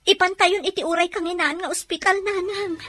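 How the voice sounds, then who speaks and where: A woman's voice: extremely high pitch, steady tone, a little fast, bright, forceful tone, no echo. An actress with an Ilocano accent playing a worried, pleading mother who works away from home in a radio drama. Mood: alarmed.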